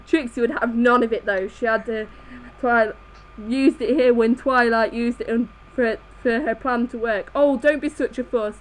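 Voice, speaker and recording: A woman's voice: a little high, fairly even intonation, unhurried, a little hiss or hum, low-quality recording. A woman reading fan fiction aloud live, stumbling over the text as she goes. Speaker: reader in a group comedy reading.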